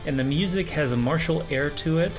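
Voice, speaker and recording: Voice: medium pitch, a little fast. Slangy music reaction co-host over background music. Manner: slangy, swearing, jokey. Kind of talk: casual reaction chat.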